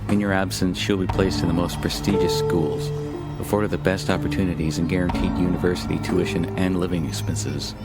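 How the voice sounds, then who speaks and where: Man playing a deep-voiced, calculating government agent. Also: flat delivery. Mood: persuasive, calculating. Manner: formal, measured, insinuating. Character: cool, calculating, formal government agency recruiter. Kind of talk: audio drama acting. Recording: music under the dialogue.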